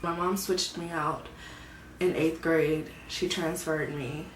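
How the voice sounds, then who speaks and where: A woman, vlogging about her own life in a soft, hesitant way, often searching for words. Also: sits low, fairly even intonation, slow, slight background noise. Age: 20s. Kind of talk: personal confessional vlog. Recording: echoey room, mic at a distance.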